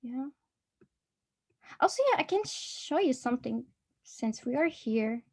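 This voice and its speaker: Young woman, Arabic speaker, slightly higher voice, friendly and giggly, talking over a video call. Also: animated, a little slow, darkish tone, breathy edge. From Egypt. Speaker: honors student, club vice president. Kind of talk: casual video call chat.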